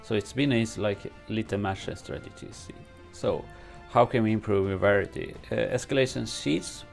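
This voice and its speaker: A man with a low, gravelly voice reads game update notes and adds his own comments in English, which is not his first language. He talks in a halting way, full of fillers and restarts. Faint music plays behind him in his home streaming room. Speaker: gaming streamer. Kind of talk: reading and commenting on game news.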